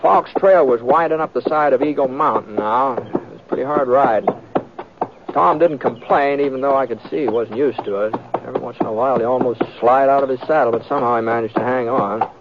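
Actor as gravelly, folksy Old West lawman. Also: mid-range voice, fairly quick, lightly acted. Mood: relaxed, folksy. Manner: hesitant, folksy drawling delivery with murmured asides. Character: easygoing seasoned Old West lawman and tracker, unhurried and folksy. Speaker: lead actor and narrator of the story. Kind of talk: 1940s-50s radio western acting and narration. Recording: noisy, muffled old radio recording with clicks.

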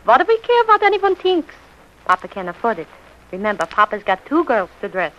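An actress playing a shrewd, soothing middle-aged mama with a New York Yiddish-inflected accent and muddled folksy grammar, in 1940s radio comedy style. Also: female voice, on the high side, huge pitch swings, a little fast, fairly bright tone. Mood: sly goading. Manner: malapropisms and inverted, folksy grammar. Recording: muffled vintage radio recording.

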